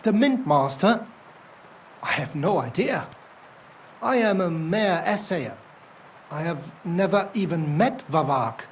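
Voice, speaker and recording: An actor playing a nervous, defensive middle-aged ore assayer with a German accent, for a video game. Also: male voice, a little high, expressive, slow, long pauses, a little hiss or hum. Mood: fearful. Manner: defensive, then frightened and confessing.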